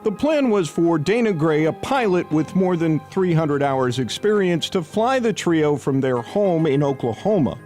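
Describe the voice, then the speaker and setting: A man's voice: a little high, expressive, a little slow, hardly any pauses, darkish tone, soft background music. An American man narrating a scripted investigative TV news report as its reporter.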